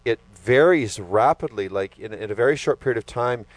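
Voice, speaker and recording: A man's voice: mid-range voice, expressive, normal speed, no echo. A man hosting an interview, asking questions in an upbeat, jokey way. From Canada. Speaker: host.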